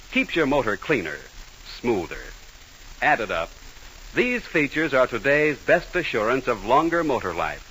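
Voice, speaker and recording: A man's voice: medium pitch, a bit bright, slight background noise, low-quality recording. An American man working as a 1940s radio announcer, giving commercials and sign-offs in a polished, emphatic sales delivery. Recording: vintage 1940s radio broadcast.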